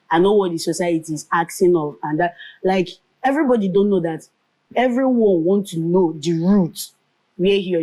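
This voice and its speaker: This woman speaks low with a mellow tone and a Nigerian Igbo accent. She is a lively storyteller, moving between English, Pidgin and Igbo as she recounts her path as a comedian and radio presenter. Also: poor audio. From Nigeria, Nkanu land. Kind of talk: storytelling in a conversational interview.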